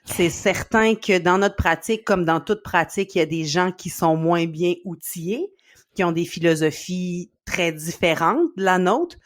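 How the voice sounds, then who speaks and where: A woman's voice: on the low side, animated, moderate pace. A middle-aged woman from Quebec with a Québécois accent, a sleep consultant speaking earnestly in an interview, with many fillers. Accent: Québécois French. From Quebec, Canada. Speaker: sleep consultant and company founder. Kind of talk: podcast interview.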